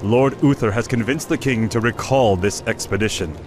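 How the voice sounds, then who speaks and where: A man's voice: on the low side, moderate pace, over music, natural voice. Man playing a stern, formal royal envoy. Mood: stern, official. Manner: formal, official, clipped. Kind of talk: fantasy video game cutscene acting.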